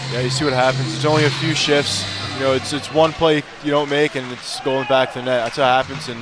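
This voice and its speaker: A man's voice: medium pitch, little pitch movement, a little fast, over music. A man giving conversational, analytical color commentary on a live hockey radio broadcast.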